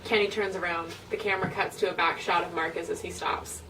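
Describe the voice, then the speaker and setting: A woman's voice: on the low side, steady tone, fairly quick, bright, forceful tone. A woman reading stage directions in an informal, amused amateur script read-through. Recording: distant mic, noisy room.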